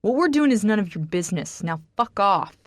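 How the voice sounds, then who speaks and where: A woman voicing a volatile, shouting young man. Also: mid-range voice, expressive, brisk, no room sound, studio quality, close to an everyday voice. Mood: threatening. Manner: harsh, swearing, shouting.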